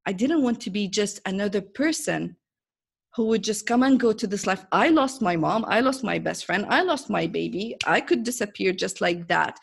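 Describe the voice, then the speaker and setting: A woman's voice: mid-range voice, expressive, normal speed, creaky, husky. A female podcast host speaks with an Arabic accent in a mid-range voice. She is a native Arabic speaker and tells personal stories earnestly, putting firm emphasis on her points. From Saudi Arabia, Middle East. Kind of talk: personal storytelling in an interview.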